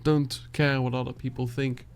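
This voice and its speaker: Soft-spoken Dutch man, gravelly and halting. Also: medium pitch, little pitch movement. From Netherlands. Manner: soft, halting, searching for words, sighing. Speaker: beatboxer reacting to music. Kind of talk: reflective reaction talk.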